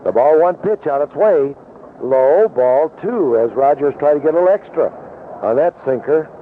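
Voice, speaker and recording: A man's voice: fairly high pitch, animated, moderate pace. An American man calling baseball play-by-play in the style of 1970s radio commentary. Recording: tinny noisy vintage AM radio broadcast.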